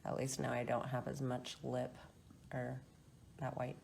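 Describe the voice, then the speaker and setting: A woman's voice: very deep voice, completely flat delivery, a little slow, gravelly, a little mellow. A woman patient making casual, chatty, joking conversation during a procedure. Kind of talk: casual chat. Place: indoor surgical procedure room. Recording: faint background noise in room.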